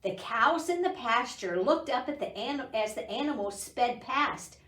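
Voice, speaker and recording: Middle-aged woman, storytime reader, slow pace, expressive lilt, slightly high voice, roomy distant recording. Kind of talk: expressive picture-book reading for young children. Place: indoor library room. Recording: roomy, voice away from microphone.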